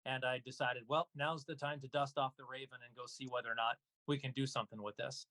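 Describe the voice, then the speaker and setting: A man's voice: medium pitch, level tone, fast talker, pushed and bright, no echo. Man chatting, dry close recording. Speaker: guest audio dramatist. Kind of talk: casual interview chat.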